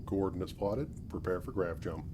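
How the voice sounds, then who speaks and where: A deep-voiced man performs a courteous space adventurer for a game role-play. His delivery is measured and calm. Clear background noise sits under the voice. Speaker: gamer voice-acting his player character.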